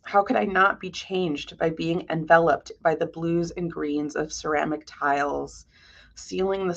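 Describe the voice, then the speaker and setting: A woman giving a prepared museum lecture as a curator, measured and articulate in a scholarly way. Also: low-pitched, gravelly. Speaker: guest lecturer, art historian and curator.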